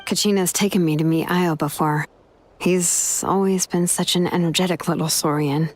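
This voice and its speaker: A woman playing a composed, serious young female leader in a game, speaking in a measured, gentle, explanatory way. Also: on the low side, fairly even intonation, close to an everyday voice. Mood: gentle, explaining. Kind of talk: English game dub acting.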